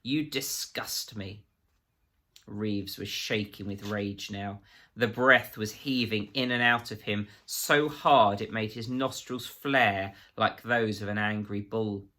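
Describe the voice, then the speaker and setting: A man's voice: on the low side, steady tone, slow pace, sharp tone. A man reading a children's novel aloud as a dramatic narrator. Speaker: teacher reading aloud to pupils.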